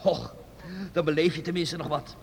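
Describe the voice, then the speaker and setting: A jovial young man, acted by a man, who teases and jokes in a high voice. The recording has a long reverb and faint hiss. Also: normal speed, lightly acted. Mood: playful. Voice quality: jovial, teasing. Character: playful, adventurous young man. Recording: reverberant old radio recording.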